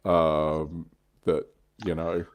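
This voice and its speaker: Very deep-voiced man, thoughtful casual chat. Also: monotone, very slow. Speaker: co-host of a hobby livestream.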